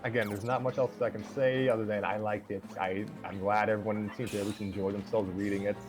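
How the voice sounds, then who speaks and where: A man with a fairly low voice talks casually as a host, with music clearly playing behind him. His delivery is hesitant and rambling, as in a relaxed conversation with friends. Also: quick pace. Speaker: host and writer of fan scripts. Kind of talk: casual group chat. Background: background music.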